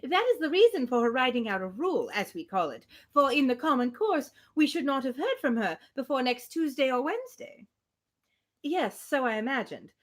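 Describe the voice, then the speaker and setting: A woman's voice: sits high, sing-song, normal speed. A woman reading a classic novel aloud, playing a gushing, good-natured spinster gossip who rambles breathlessly. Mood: gushing, excited.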